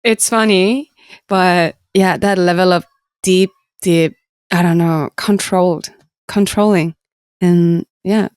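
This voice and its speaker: A woman in her thirties with a Korean accent, a musician guest talking about her career in halting, earnest English with frequent light laughs. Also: on the low side, a little mellow, clear, resonant tone, no room sound, studio quality. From South Korea. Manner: halting, earnest, laughs often, simple phrasing. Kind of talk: casual interview talk.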